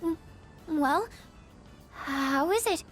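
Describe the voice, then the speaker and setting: A woman voicing a sweet, shy, earnest high school girl in a video game, gentle, sincere and a little hesitant. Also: lively intonation, drawn-out words, soft background music, full character voice.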